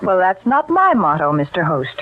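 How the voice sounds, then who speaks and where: A woman's voice: on the low side, very animated, normal speed, faint music behind. A woman announcer reading sponsor spots on 1940s radio with chatty, polished salesmanship, on a tinny old recording. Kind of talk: 1940s radio advertising read.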